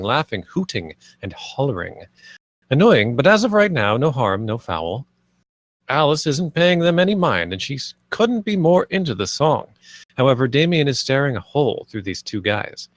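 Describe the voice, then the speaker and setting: A man's voice: mid-range voice, no room sound. A man reading out stage directions and scene headings as narrator at a film table read.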